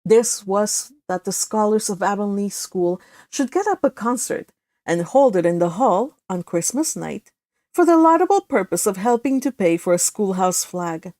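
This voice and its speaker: A woman's voice: medium pitch, big pitch swings, normal speed, warm tone, no room sound, studio quality. A woman storyteller narrating a novel aloud in a read-along style.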